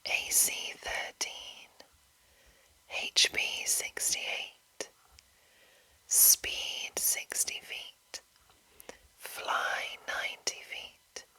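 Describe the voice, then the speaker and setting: A woman speaking in an unvoiced whisper, hushed and deliberate, as an ASMR host reading aloud from a game book. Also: rough recording. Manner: hushed, slow, deliberate enunciation.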